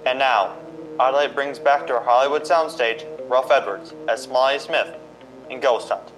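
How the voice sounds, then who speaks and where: Theatrical actor as a vintage radio announcer over music. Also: male voice, medium pitch, natural voice, low-quality recording. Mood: ominous, grand. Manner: measured, theatrical. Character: dramatic male announcer of vintage radio suspense show.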